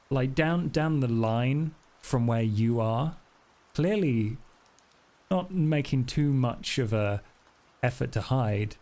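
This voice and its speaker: A man's voice: medium pitch, dark tone. A man narrating a tabletop role-playing game as storyteller, improvising scenes as he goes.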